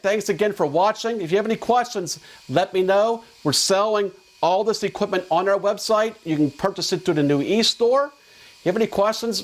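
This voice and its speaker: A man presenting drone equipment in a casual, conversational, explanatory product demo. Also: high-pitched.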